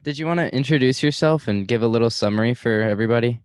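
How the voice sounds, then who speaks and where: A man hosting a podcast in a casual conversation, talking in a relaxed way with many filler words. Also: medium pitch, fast, clear, resonant tone, no echo, studio quality. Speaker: podcast host.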